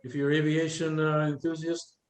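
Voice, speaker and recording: An older man with a slightly high voice speaks in a roomy, echoing space. He presents like an informal instructor, explaining step by step and quizzing his listeners as he goes. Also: flat delivery, normal speed. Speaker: presenter, retired air traffic controller. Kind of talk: career workshop presentation, explaining with questions to the audience. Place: indoor room with a live audience.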